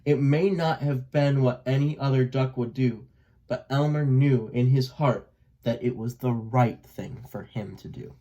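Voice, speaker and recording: Casting: man for children's read-aloud narration, medium pitch, slow deliberate pacing, expressive delivery. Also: dull audio. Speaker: storybook reader.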